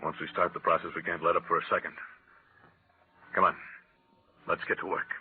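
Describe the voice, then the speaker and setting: Low-voiced, driven radio-drama doctor. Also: male voice, little pitch movement, fairly quick, slightly rough. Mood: intent, tired. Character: driven, stubborn male research doctor, tense and obsessive. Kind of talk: 1940s radio drama acting. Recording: tinny, narrow 1940s radio recording.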